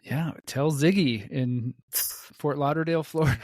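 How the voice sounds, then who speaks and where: A podcast host asking questions in a relaxed, joking way. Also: medium pitch, measured pace, no room sound. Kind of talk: casual interview chat.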